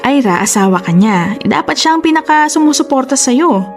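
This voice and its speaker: Confident Filipina big sister, encouraging. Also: female voice, high voice, expressive, brisk, soft background music. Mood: reassuring, concerned. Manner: encouraging, conversational, English-peppered. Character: confident, supportive older-sister business partner, brisk and reassuring. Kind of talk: Filipino radio drama acting.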